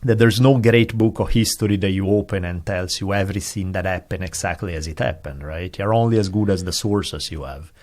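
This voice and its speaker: Low-voiced man musing on history. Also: brisk, few pauses, darkish tone. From Italy. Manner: thoughtful, digressive, hedging explanations. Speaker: podcast guest, historian. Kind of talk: casual conversation.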